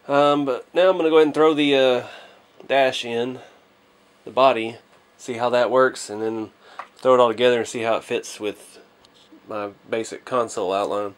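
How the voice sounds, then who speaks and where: A man's voice: mid-range voice, steady tone, moderate pace. A man hosting a hobby build video, talking casually and thinking aloud as he works with his hands.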